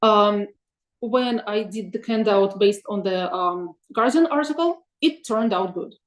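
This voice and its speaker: A woman presents AI tools for teachers in a webinar, thinking aloud with many ums and pauses. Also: medium pitch, reverberant. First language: Russian. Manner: thoughtful, many ums and uhs, asks for next slide. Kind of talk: presenting slides in an online webinar.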